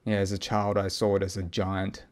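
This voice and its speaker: A man recording a reflective personal audio log, speaking haltingly as he searches for words and often pauses mid-thought. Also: sits low, monotone. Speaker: podcast host.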